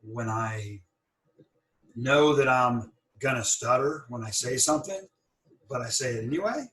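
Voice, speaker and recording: A man's voice: mid-range voice, lively intonation, unhurried, a bit bright. A man hosting an interview podcast, who stutters and restarts words as he talks in a thoughtful, encouraging way. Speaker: podcast host. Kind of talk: conversational interview. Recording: roomy, a bit far from the mic.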